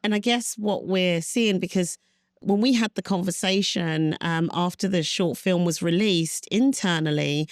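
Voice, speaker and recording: Low-voiced woman host, dry close sound. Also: unhurried, dry recording. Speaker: podcast host. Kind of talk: conversational interview.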